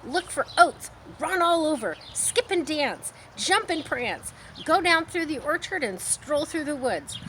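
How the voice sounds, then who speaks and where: A woman voicing a bossy, excitable goose in a storybook, repeating phrases eagerly. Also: sits high, slight background noise, voice pushed up. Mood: enthusiastic. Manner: repeats phrases rapidly, exhorting. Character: bossy, excitable goose, fast-talking and repetitive.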